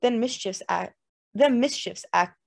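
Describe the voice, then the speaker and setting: A woman voicing an easygoing, dry-witted old hunter with a flat, folksy manner, in an audiobook. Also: a little high, measured pace, vocal fry, pitched above the performer's own voice, full character voice. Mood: dry, wry. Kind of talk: dramatized literary audiobook dialogue.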